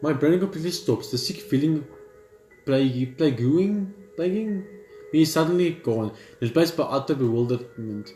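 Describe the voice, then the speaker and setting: A man's voice: fairly high pitch, lively intonation, normal speed, warm tone. A man reading story text aloud for a gaming video, sometimes stumbling over the words. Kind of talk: let's play read-aloud. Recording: roomy echo with music behind.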